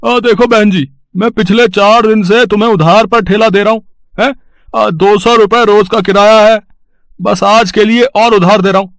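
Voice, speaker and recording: A woman's voice: mid-range voice, fairly even intonation, normal speed, dry recording, natural voice. A woman voicing a stern male cart renter who talks bluntly about money, with hesitant fillers. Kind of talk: cartoon voice acting.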